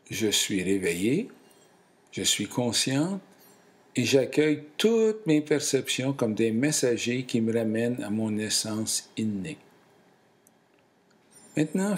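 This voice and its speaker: A man leading a guided relaxation in a calm, soothing and deliberate way, in short phrases. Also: mid-range voice. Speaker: guided meditation instructor.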